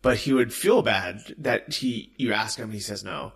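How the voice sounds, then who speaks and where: A chatty man asks warm follow-up questions in a casual interview. His voice has a bright, forward edge. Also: lowish voice, normal speed, gravelly, breathy edge.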